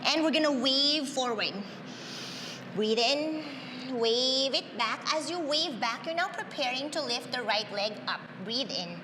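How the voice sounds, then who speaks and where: A woman's voice: sits high, expressive, measured pace, reverberant, in a large hall, noisy background. A woman whose first language is Tagalog, leading a yoga class, cueing breaths in an upbeat way with frequent cheers. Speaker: yoga instructor. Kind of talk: guided yoga class instruction.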